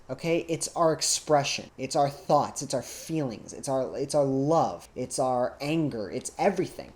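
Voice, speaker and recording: A woman with a low voice speaks straight to her viewers as a video creator. Her delivery is conversational but earnest, leaning on key words to drive an opinion home. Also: darkish tone.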